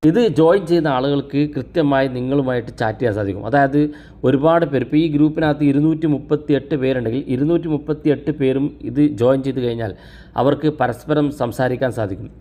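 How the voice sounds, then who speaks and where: A man narrates a how-to video in a medium-pitched voice. He talks quickly and explains step by step, speaking straight to the viewer like a tutorial host. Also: warm tone. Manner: instructional, addresses viewers directly.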